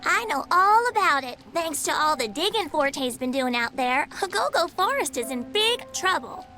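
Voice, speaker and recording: A woman's voice: high voice, very animated, normal speed, sharp tone, heavily acted. A woman voicing a feisty young forest girl in a game cutscene, talking in a blunt, chatty way. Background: game soundtrack music.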